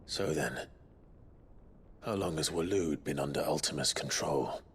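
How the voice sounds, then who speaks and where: Terse warrior leader, extremely deep, grave and brooding, for a fantasy game. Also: male voice, unhurried, big-room sound, a little hiss or hum. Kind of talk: dramatic video game acting.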